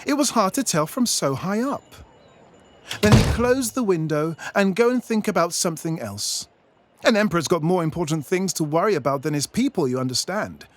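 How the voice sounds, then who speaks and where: A British man giving theatrical, tongue-in-cheek storybook narration in a pompous, deliberate manner. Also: high voice, lively intonation, a little hiss or hum.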